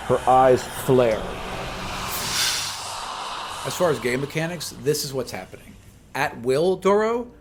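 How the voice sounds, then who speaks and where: A man's voice: medium pitch, darkish tone. A man working as game master, narrating a tabletop fantasy adventure to his players.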